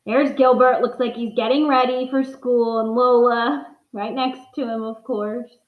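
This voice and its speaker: A woman teacher with a fairly high voice reads and talks to young pupils. Her delivery is expressive and geared to children. The sound is echoey and a little dull. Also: unhurried. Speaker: primary school teacher reading aloud. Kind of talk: storybook read-aloud with casual asides to pupils.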